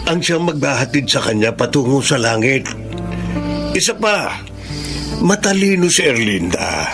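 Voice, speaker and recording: An older father in a radio drama, calm and principled. His voice has a light gravelly creak at a medium pitch, with faint music behind it. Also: unhurried. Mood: calm, earnest. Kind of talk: Filipino radio drama acting.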